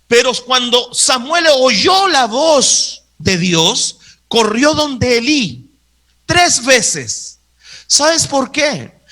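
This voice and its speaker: A man's voice: very high-pitched, sharp tone. A man preaching a sermon to a live congregation, emphatic and insistently repeating his phrases. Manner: acts out Bible dialogue.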